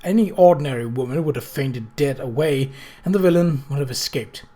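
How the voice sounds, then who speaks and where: A man's voice: mid-range voice, brisk, dark tone. A man narrating a novel aloud in a scripted storybook style. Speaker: audiobook reader.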